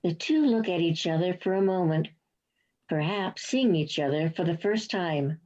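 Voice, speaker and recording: A woman's voice: lowish voice, expressive, normal speed, a little mellow. A woman reading stage directions as scripted narration for a play, with slightly muffled sound.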